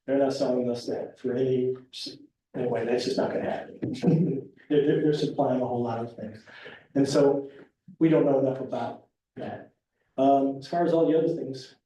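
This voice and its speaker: A soft-spoken man, rambling and restarting as he addresses a town meeting as the applicant. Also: medium pitch, slight background noise. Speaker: property applicant. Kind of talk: public meeting remarks. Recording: echoey room from far off.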